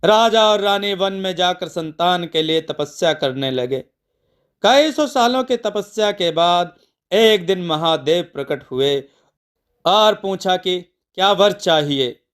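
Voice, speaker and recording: A man narrating a scripted devotional mythological story in a measured, deliberate storytelling way. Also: high voice, animated, a bit bright, clean tone.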